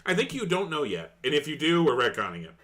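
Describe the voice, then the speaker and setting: A man's voice: fairly high pitch, big pitch swings, quick pace, a crowd in the background. A man acting as game master in a casual tabletop role-play podcast conversation.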